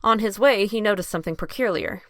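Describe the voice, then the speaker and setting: A woman's voice: mid-range voice, fast, a bit bright, no room sound, studio quality. A woman narrating a scripted audio drama as its storyteller.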